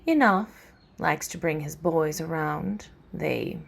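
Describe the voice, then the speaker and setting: An actress playing a cool, biting femme fatale in a parody of a 1940s detective radio play, sultry and cutting. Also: female voice, low-pitched, takes it slowly, gravelly, husky, full character voice. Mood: anxious, biting.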